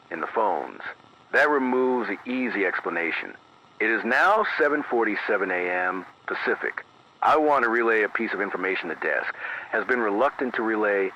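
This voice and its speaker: Man acting as grave, low-voiced emergency radio announcer. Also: bright, forceful tone, low-quality recording. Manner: formal, deliberate, careful, repetitive phrasing. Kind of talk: scripted analog horror emergency bulletin. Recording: band-limited radio sound with hiss.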